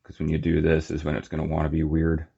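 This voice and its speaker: A man's voice: extremely low pitch, monotone, fast, vocal fry, warm tone, breathy edge, lo-fi sound. A man giving a casual, unscripted hands-on demonstration as a hobby reviewer, with informal muttered asides. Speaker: toy collector and reviewer.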